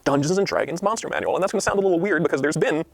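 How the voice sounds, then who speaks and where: Higher-voiced, creaky man, earnest and emphatic. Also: big pitch swings, very fast, no room sound. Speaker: game book designer in promotional interview. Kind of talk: explanatory interview talk.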